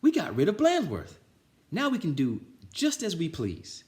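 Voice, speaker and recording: A man voicing excitable, mischievous schoolchildren in a storybook, exclaiming in a childlike way. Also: on the high side, measured pace, voice pushed up. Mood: gleeful.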